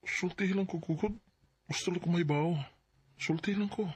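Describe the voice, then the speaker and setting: A man's voice: high voice, fairly even intonation, moderate pace, a little mellow, slightly rough. A Filipino man with a Bisaya accent playing an earnest, insecure young suitor in a radio drama, speaking hesitantly and pleadingly.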